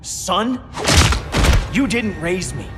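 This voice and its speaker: A hot-headed young game vigilante. His voice is high for a male and swings widely, sneering and defiant over loud music. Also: expressive, drawn-out words, full character voice. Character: defiant, scornful young assassin-trained son and vigilante. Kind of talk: video game cutscene acting.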